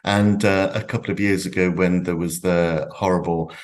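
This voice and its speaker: A man's voice: sits low, fairly even intonation, moderate pace. A man interviewing a novelist at a festival, asking long rambling questions full of fillers. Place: stage at a literature festival.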